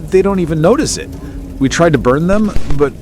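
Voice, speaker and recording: Higher-pitched, frantic man acting as male survivor. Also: animated, fairly quick, background noise, natural voice. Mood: panicked, desperate. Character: panicked male survivor radioing in from a zombie outbreak. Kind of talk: scripted radio drama.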